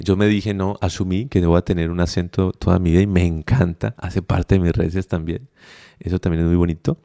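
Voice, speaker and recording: A young adult man with a Colombian accent, interviewed on a podcast. His low voice has a gravelly edge and a dark, mellow color. He talks in a reflective, unhurried way, thinking aloud with fillers and restarts. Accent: Colombian Spanish. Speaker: podcast guest, migrant. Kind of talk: conversational interview.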